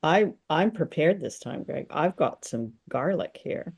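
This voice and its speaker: A woman's voice: deep voice, lively intonation, unhurried, vocal fry, a little mellow, breathy edge. An older woman co-hosting in playful, casual chat over a video call. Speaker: co-host.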